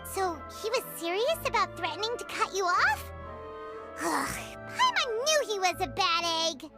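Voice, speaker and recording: A woman voicing a tiny, childlike, plucky and excitable game companion with a squeaky, brash delivery. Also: a little slow, heavily acted. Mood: suspicious. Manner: refers to self in third person, brash. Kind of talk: English video game voice acting. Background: background music.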